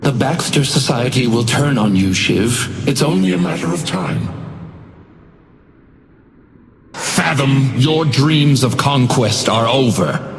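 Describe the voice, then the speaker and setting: A man's voice: mid-range voice, animated, a little slow. An actor playing a sinister otherworldly hotel doorman in a video game, silky and formally polite while cruelly taunting. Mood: grand menace. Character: sinister otherworldly male hotel doorman, suave, mockingly courteous tormentor. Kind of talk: theatrical video game villain monologue. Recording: cavernous echoing hall reverb, music behind.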